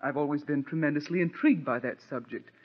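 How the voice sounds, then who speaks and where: An actress playing a genteel, modest and evasive young widow with a hesitant manner, in old radio drama style. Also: female voice, low voice, quick pace. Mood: coy, modest. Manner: genteel, hesitant, self-deprecating. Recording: dull, band-limited old radio recording.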